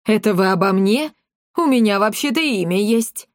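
A woman's voice: fairly high pitch, animated, a little slow, no room sound, clean studio sound. A woman voicing a hefty orphanage matron in a storybook, easily offended, indignant and combative.

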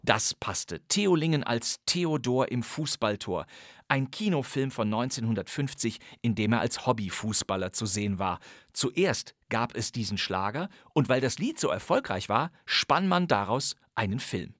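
A male podcast host speaking at a medium pitch into a close studio microphone, the sound completely dry. He introduces an archive radio play in a playful, chatty tone and clearly enjoys the old material. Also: expressive, moderate pace, a bit bright, no room sound.

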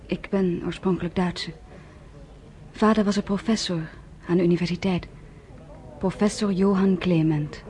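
Actress doing a young woman remembering her childhood, quiet and calm. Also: lowish voice, natural voice. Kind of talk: radio drama narration.

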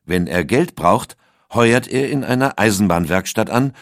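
A man with a very deep voice narrates a documentary script. His delivery is measured and steady, recorded close on a studio mic. The sound is dry and has no room echo.

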